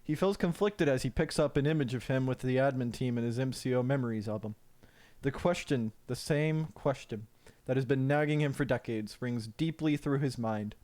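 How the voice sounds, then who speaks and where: A man's voice: mid-range voice, moderate pace, darkish tone. Mock-solemn male story narrator. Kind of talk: scripted dramatic story narration.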